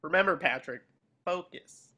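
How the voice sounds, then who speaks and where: A man's voice: a little high, moderate pace, pushed and bright, slightly rough. A man voicing an earnest, eager, boyish cartoon sea sponge in a table read, coaxing as he talks. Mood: hopeful.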